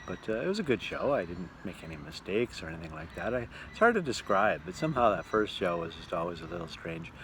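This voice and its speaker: A Canadian man with a deep voice talks in a casual, folksy vlog style. He rambles along like a musician out on tour. A faint vehicle rumble runs through the on-the-road recording. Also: fairly bright tone. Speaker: touring musician and entertainer.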